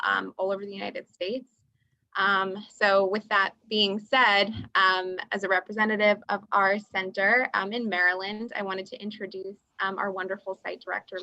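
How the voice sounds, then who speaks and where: Woman with Russian as first language, bright. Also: mid-range voice, gravelly, dry recording, highs cut off. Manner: lots of "um" fillers, friendly. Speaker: representative introducing her organization. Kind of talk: webinar introduction.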